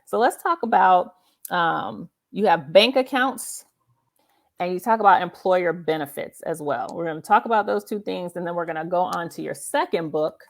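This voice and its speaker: A woman's voice: on the low side, normal speed, vocal fry. A woman hosting a casual interview, speaking emphatically and affirmingly and repeating points for her listeners. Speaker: host of a live author interview show.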